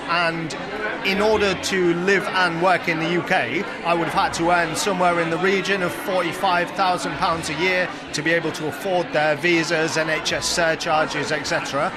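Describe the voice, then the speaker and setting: A man's voice: a little high, fairly even intonation, moderate pace. A man from England with a Yorkshire accent, telling his story in a chatty, self-deprecating way in a street interview. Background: crowd noise.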